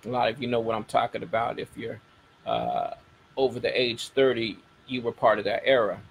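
A man's voice: mid-range voice, expressive, normal speed, gravelly, a little hiss or hum, poor audio. A middle-aged American man hosting a show, talking casually to his audience and repeating key phrases for emphasis. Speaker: radio show host. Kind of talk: casual talk to a broadcast audience.

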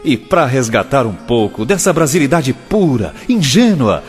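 Animated Brazilian man announcing over guitar. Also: mid-range voice, normal speed. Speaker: announcer introducing comedians. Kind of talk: theatrical scripted narration.

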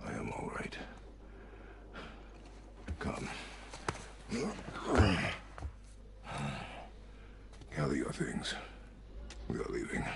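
Very deep, gravelly stern warrior father. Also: whispered, full character voice. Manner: terse, halting, solemn. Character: stern, weary, grieving warrior father, middle-aged man. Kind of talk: dramatic video game cutscene acting.

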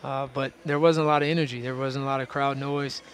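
A man in his forties, a head basketball coach, answering post-game questions courtside in an arena through a headset mic, in a steady way with frequent repeated words. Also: mid-range voice, fast talker, a little hiss or hum. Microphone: headset boom mic.

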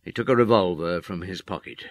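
A brooding, deep-voiced detective. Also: male voice, fairly quick, no echo. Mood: haunted, reflective. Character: brooding, guilt-ridden police detective, world-weary middle-aged man. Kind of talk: radio drama acting.

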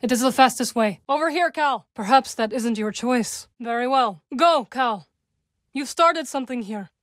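Woman acting a young witch warrior, video game dialogue, fairly high voice, measured formal phrasing, dry wit, close dry sound. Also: dry recording, lightly acted. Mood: firm, urging. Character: composed, dry-witted young witch warrior from a galactic fantasy.

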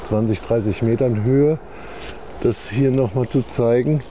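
A man's voice: medium pitch, measured pace, mellow. A man chatting casually on location outdoors, explaining things, repeating himself and often checking for agreement. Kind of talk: casual on-location commentary in conversation. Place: outdoors in woodland on soft ground. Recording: outdoor field recording with background noise.

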